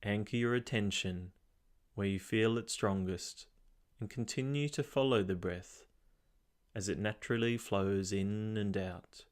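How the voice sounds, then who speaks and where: Man, lowish pitch, meditation guide, calm instructional delivery, measured phrasing, long pauses.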